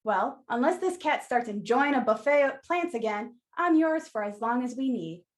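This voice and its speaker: A high-pitched actress as a female shopkeeper in a radio play. She is blunt and sassy, with a brisk, wry, no-nonsense way of talking to customers. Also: lively intonation, lightly acted. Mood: advising.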